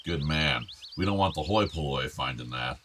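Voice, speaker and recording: A man's voice: very deep voice, lively intonation, normal speed, fairly bright tone, a little hiss or hum. An actor playing an older, meddling, wistful father in a naturalistic radio play, with bookish, formal phrasing. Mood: genial. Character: older father, wistful, meddling, urging his son to marry.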